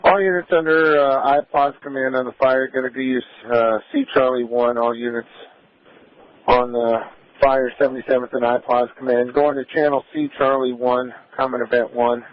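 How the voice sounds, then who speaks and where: A man's voice: mid-range voice, steady tone, normal speed, no echo. Male police dispatcher on muffled radio. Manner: procedural, reading out addresses and codes. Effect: police two-way radio. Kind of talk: live emergency radio dispatch.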